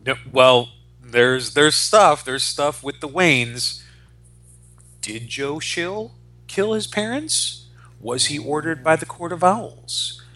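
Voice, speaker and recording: Bright-voiced, sweary man in his forties. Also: a little high, takes it slowly. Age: 40s. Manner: sweary, enthusiastic, explanatory. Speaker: podcast co-host. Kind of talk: casual chat about comic books.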